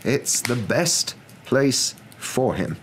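A man playing a wise old wizard, calm, firm and measured, in a storybook reading. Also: medium pitch, gravelly, a little hiss or hum, voice pushed up.

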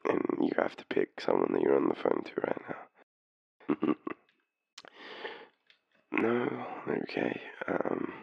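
A man acting a very deep, gravelly tipsy Australian. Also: huge pitch swings, dry recording, highs cut off, heavily acted. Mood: shy, playful. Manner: hesitant, rambling, halting, breathy chuckles. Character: tipsy, shy, lovestruck young man phoning his crush. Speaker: voice actor in boyfriend roleplay. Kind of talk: intimate audio roleplay, one-sided phone call.

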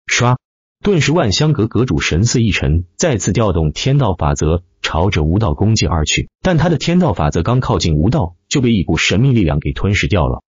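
Male audiobook narrator, low and husky, theatrical scripted delivery, close dry sound. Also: sing-song, a little fast, dry recording, dull audio. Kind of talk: dramatic scripted web-novel narration.

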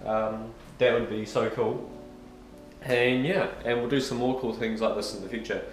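A young man, croaky with a cold, chatting upbeat to camera in his living room, filming at arm's length. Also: medium pitch, fairly even intonation, normal speed, soft background music, rough recording. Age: young adult. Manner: chatty, upbeat, filler-heavy. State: hoarse with a cold. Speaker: vlogger. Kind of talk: casual vlog chat to camera. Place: living room at home, indoors.

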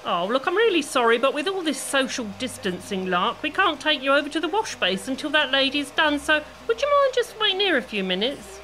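A woman's voice: sits high, sing-song, brisk, few pauses, bright, forceful tone, full character voice, low-quality recording. An actress playing a kindly, chatty British hairdresser in an audio play, motherly and full of endearments. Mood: bright, chatty. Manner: motherly, calls people "love".

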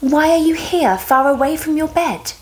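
Gentle storybook fairy, female, kind, acted by a woman, light and high. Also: expressive, normal speed. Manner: soft, gentle questioning.

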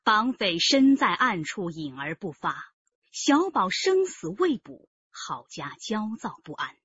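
A woman giving deliberate, suspenseful scripted narration for a radio serial. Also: mid-range voice, big pitch swings, fairly bright tone, breathy edge, no echo, muffled recording.

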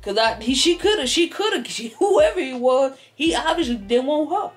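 A woman's voice: sits high, expressive, normal speed. A woman playing a sassy old American grandmother, stammering and repeating herself as she tells folksy tall tales on camera. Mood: defensive, sassy. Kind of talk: improvised comedic storytelling. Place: living room couch, indoors. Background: background music.

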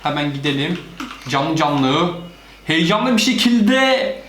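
A man's voice: fairly high pitch, very animated, takes it slowly, a crowd in the background. A man, probably a parent, hosting casual reaction and gameplay commentary, explaining things to viewers and a child. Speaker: host of a family gaming channel. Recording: roomy echo, music behind. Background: cartoon and game audio with music.

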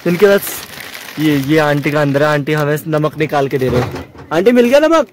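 A young man chatting excitedly to viewers while camping on a mountain meadow, filmed on an action camera. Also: on the high side, normal speed, a little hiss or hum. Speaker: travel vlogger. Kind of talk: casual camping vlog. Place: outdoors on a mountain meadow by a campfire.

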